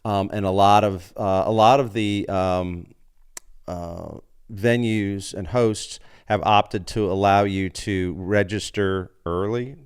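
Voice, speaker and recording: A man talks easily as a podcast guest. His voice sits low and sounds smooth and clear. He tells his stories by acting out the people in them. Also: steady tone, slow. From United States. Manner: chatty storyteller who acts out quoted people. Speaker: podcast guest, open mic organizer. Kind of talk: casual interview chat.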